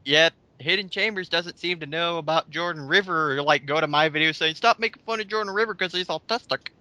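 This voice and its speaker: A man's voice: on the high side, fairly quick, bright, forceful tone. A man giving casual, mocking commentary on online comments in a sarcastic, blunt and sweary way.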